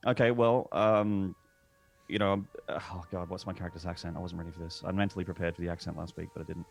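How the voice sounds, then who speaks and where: A man's voice: sits low, fairly even intonation, fast talker, gravelly, faint music behind. A man from Arkansas playing a tabletop game, chatting casually and joking at his own expense. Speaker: player.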